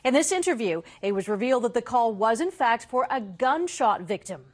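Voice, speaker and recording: A woman's voice: on the high side, very animated, a little fast. A woman anchoring a TV newscast, reading scripted news.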